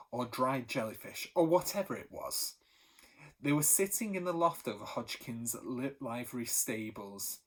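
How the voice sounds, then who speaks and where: Husky-voiced teacher guy reading a chapter book, medium pitch, lively and expressive. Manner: lively storytelling with animated emphasis. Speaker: primary school teacher. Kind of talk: reading a children's novel aloud.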